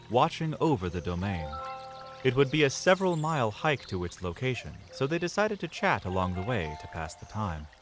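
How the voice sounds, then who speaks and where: A man narrating a fan audio drama in an expressive, storybook manner. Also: medium pitch. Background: music.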